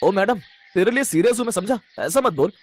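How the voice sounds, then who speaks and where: A man's voice: sits high, lively intonation, fairly quick. A man voicing an easygoing, cheerful young husband in a cartoon story, with a breezy, playful manner. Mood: reassuring.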